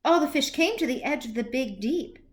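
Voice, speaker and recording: A woman reading a children's story aloud as a teacher would, expressively and with deliberate phrasing for young listeners. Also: high voice. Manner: animated, slow, deliberate, with questions to young listeners.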